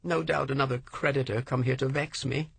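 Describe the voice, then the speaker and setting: Guy doing a teary pleading wife, husky, high and shaky, dry close sound. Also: steady tone, fairly quick, fairly bright tone, no room sound. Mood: distraught. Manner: pleading, sorrowful. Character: distraught, tearful wife, middle-aged woman.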